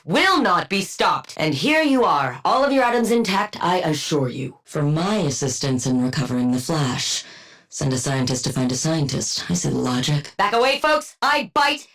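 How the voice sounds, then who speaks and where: An actress playing a brilliant, arrogant, sardonic supervillain scientist in a video game, precise and condescending, with dry wit. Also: female voice, medium pitch, fairly bright tone. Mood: wry, brisk, then sharp, commanding. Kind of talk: video game character voice lines.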